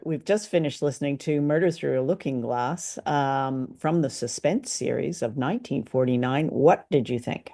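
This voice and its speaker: Brief: female co-host, low, warm lively voice, enthusiastic chat. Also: normal speed, few pauses, soft background music. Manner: enthusiastic, chatty. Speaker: podcast co-host. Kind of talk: casual discussion.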